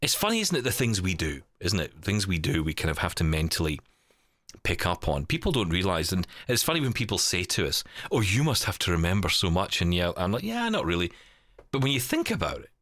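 A man's voice: sits low, wildly swooping pitch, a little fast, a bit bright, dry recording. A man in casual, reflective conversation, explaining things in a rambling way with tag questions. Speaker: podcast co-host.

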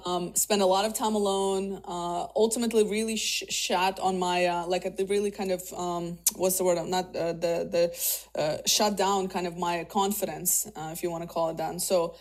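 A woman's voice: lowish voice, flat delivery, moderate pace. A woman in her thirties from Russia, raised speaking Russian, talking as a guest about her career in a casual interview, chatty with many fillers and restarts.

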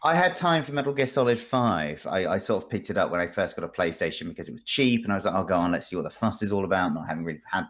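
Low-pitched man, wryly chatting about games. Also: lively intonation, quick pace, few pauses, a bit bright. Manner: wry, sardonic, jokey. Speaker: podcast guest discussing video games. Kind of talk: casual podcast chat.

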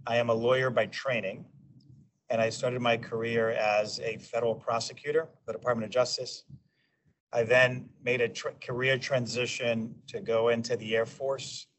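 A man in his forties from New York, a guest in a casual interview, talking in a measured way and often restarting his sentences. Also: mid-range voice. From New York, USA. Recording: distant mic with faint noise.

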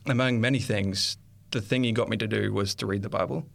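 Low gravelly man, earnest and hesitant. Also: steady tone, a little fast. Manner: earnest, hesitant, thinking aloud. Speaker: interview guest. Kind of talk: reflective personal testimony.

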